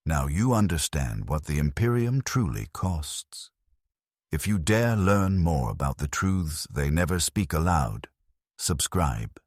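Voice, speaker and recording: Very deep, husky man narrating grimly. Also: very animated, slow pace, no room sound, studio quality. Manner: grim, ominous, solemn. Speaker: narrator of a sci-fi lore story. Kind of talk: dramatic scripted first-person narration.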